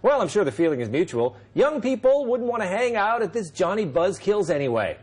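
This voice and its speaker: A man's voice: high voice, sing-song, brisk, a little mellow, husky. A middle-aged man hosting a late-night panel show in a TV studio, reading a wry, sarcastic monologue and then leading the banter. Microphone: lapel mic.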